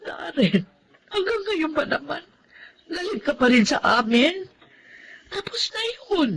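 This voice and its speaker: A woman's voice: sits high, very animated, unhurried, a bit bright, heavily acted. Hurt, pleading mother, swooping emotional voice. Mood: pleading, tearful. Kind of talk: Filipino radio drama acting.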